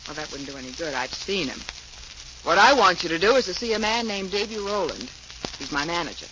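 A woman's voice: on the low side, big pitch swings, fairly quick. An actress playing a brash, determined young showgirl in 1940s radio drama, blunt and tough-talking. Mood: worried, confiding. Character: brash, hard-edged young female showgirl, desperate and determined. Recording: muffled, hissy 1940s radio recording.

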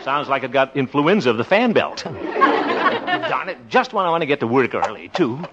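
An actor playing an excitable, boastful but good-natured grown son in a 1950s radio sitcom, hammy and blustery. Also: male voice, medium pitch, moderate pace, a loud crowd, heavy background noise. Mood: exasperated, grumbling. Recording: dull old radio recording.

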